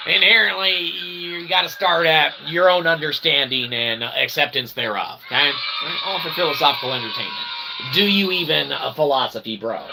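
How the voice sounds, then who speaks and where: A man hosting a philosophy show with a rambling monologue, wordy and mock-formal, in a gravelly voice with pompous archaic phrasing. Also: fairly high pitch, moderate pace. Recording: clearly noisy recording.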